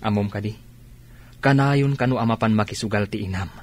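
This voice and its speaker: A man's voice: fairly low pitch, brisk, lightly acted. A man acting a frank friend who questions and advises, in a radio drama. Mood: frank, probing.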